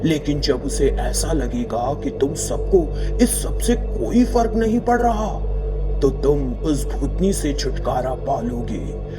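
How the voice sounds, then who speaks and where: A man voicing an all-knowing hermit sage in a story, giving calm, measured, instructive counsel. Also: a little high, music in the background.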